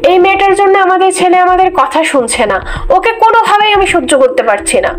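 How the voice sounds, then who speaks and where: A woman's voice: very high voice, lively intonation, quick pace. A woman voicing a scheming, hot-tempered middle-aged mother-in-law in a cartoon, scolding and indignant. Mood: spiteful. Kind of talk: Bengali cartoon voice acting.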